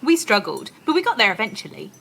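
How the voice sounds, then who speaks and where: A woman's voice: fairly high pitch, very animated, fast, gravelly, a bit bright, husky, full character voice. A woman playing an eager, bossy helper in a children's audio play, giving listeners chirpy step-by-step instructions. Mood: eager, welcoming. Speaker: co-presenter of a participatory audio play.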